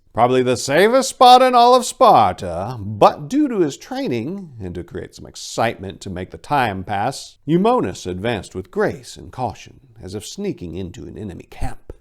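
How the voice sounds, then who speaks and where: A man's voice: on the low side, very animated, normal speed, hardly any pauses. A man narrating in a theatrical, emphatic way, like a dramatic reading. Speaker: narrator and host.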